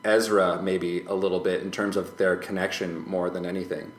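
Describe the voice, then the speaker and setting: Male podcast guest, low-pitched, hesitant and reflective, roomy recording. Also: little pitch movement, moderate pace. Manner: hesitant, tentative, rambling with hedged phrasing. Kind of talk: casual reflective chat. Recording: roomy echo, faint background noise.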